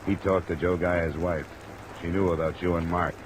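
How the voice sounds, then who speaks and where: A man's voice: deep voice, flat delivery, normal speed, background noise, close to an everyday voice. A man playing a tough, terse investigator in old-time radio detective drama, matter-of-fact, with rain behind. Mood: pressing. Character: tough, clipped male investigator narrating his own case. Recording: vintage radio recording under loud music and rain. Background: music and rain.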